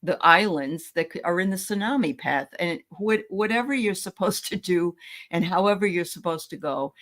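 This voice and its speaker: A woman from New Hampshire hosting a casual interview, speaking in a friendly, halting way with many fillers. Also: lowish voice, fairly bright tone. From New Hampshire, USA. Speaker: host.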